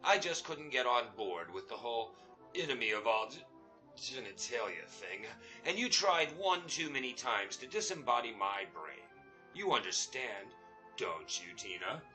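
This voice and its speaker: A man's voice: medium pitch, very animated, moderate pace, sharp tone, faint music behind. A man playing a self-pitying, sheepish space captain in a comic sci-fi radio play, with wry, hammy delivery.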